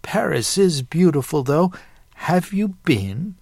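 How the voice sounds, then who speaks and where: A proper old lady of storybook fiction acted out by a man, raised in pitch and unhurried. She is proud, nosy and quick to scold. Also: big pitch swings, vocal fry, darkish tone, no room sound, studio quality, heavily acted. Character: prim, proud elderly Southern great-aunt, easily scandalized.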